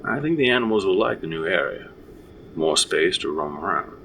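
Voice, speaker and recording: A man's voice: low-pitched, expressive, normal speed, fairly bright tone, slight background noise. A man playing a practical, patient frontier father with dry humor in an audio drama. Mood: matter-of-fact.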